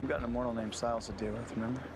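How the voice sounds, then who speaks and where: A man's voice: mid-range voice, flat delivery, very fast, loud music behind the voice, lightly acted. An actor playing a cocky, sardonic adult male vampire in a TV drama, with a smug, teasing manner. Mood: dismissive. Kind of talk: TV drama acting.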